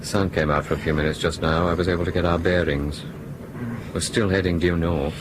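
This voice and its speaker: A man acting in a radio drama as a calm, reasonable airline crewman with a measured, mild manner. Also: low voice, lightly acted. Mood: calm, curious. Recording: clear background noise.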